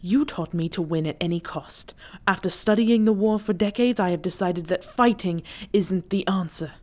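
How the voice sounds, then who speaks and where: A woman's voice: on the low side, normal speed, darkish tone, natural voice. A woman playing a defiant, principled dragon princess in an audio drama, speaking formally and with earnest emphasis. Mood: weary, reproachful. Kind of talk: dramatic audio roleplay acting.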